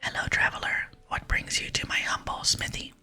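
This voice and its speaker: An ASMR gamer voicing a humble, hard-working male smith from a game in a polite, breathy whisper. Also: normal speed, bright, forceful tone, soft background music. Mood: welcoming. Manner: polite, plain-spoken. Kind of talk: whispered game dialogue reading.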